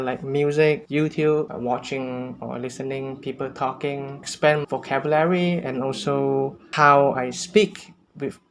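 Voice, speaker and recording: A man's voice: mid-range voice, a little hiss or hum. Earnest man sharing tips. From Malaysia. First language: Cantonese. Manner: earnest, unpolished, with non-native phrasing and small restarts. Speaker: language-learning vlogger. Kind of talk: casual tips talk to camera.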